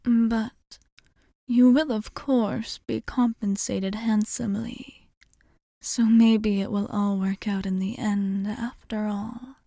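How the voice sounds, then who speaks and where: A woman playing a polite, composed vampire bartender in an ASMR roleplay, speaking softly and formally with breathy, half-whispered words and thoughtful pauses. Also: fairly high pitch, dry recording, clean studio sound, exaggerated voice. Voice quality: soft, breathy, partly whispered. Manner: formal, measured, courteous, hesitant pauses. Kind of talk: ASMR roleplay, soft whispery speech to the listener.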